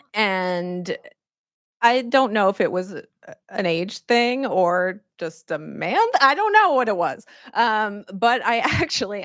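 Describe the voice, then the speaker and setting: A woman's voice: medium pitch, a bit bright, dry recording, studio quality. A middle-aged woman journalist on a conference panel, telling personal stories in a reflective way with many fillers. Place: conference room.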